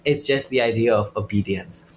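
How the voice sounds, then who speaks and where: A man's voice: fairly low pitch, brisk, a little hiss or hum, low-quality recording. A young man hosting a podcast, talking conversationally about faith with his group. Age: young adult. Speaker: podcast host.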